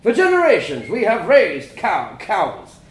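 A man voicing a stern, domineering farmer father who lectures solemnly and erupts into furious shouting. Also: high voice, huge pitch swings, a little slow, echoey room, pitched above the performer's own voice, heavily acted.

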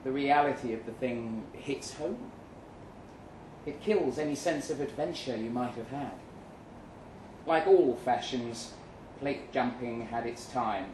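A man performing a live short-story reading as a weary, jaded agent, speaking deliberately with dramatic pauses. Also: mid-range voice, darkish tone, lightly acted. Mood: grave, reflective. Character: weary, jaded male agent narrating his own story. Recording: roomy live venue, some noise.

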